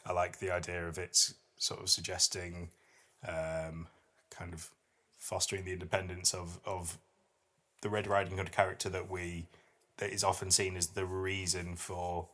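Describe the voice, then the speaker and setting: Low-voiced man hosting a podcast. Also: level tone, normal speed, pushed and bright. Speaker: podcast host. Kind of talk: casual chat and poetry reading.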